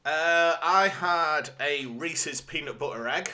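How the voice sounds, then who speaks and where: A man speaks in a bright, slightly high voice as a podcast co-host. His chat is informal and candid, with a self-deprecating streak. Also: slow pace. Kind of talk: casual chat.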